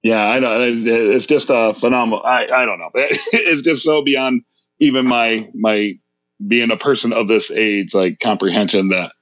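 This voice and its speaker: A man's voice: mid-range voice, steady tone, normal speed, fairly bright tone, no echo. Laid-back man in his thirties, dry lo-fi sound. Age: 30s. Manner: laughing, rambling with many fillers and restarts. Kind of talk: casual podcast chat.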